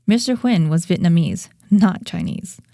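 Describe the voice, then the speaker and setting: A woman hosting a podcast, giving a measured, reflective scripted narration. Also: lowish voice, animated, warm tone, no room sound, studio quality. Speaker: podcast host.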